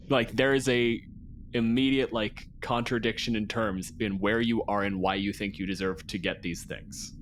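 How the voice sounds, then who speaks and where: A man's voice: fairly low pitch, steady tone, a little slow, slight background noise. A man in casual tabletop roleplay, talking in a blunt, wry and emphatic way. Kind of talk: casual, improvised tabletop game play.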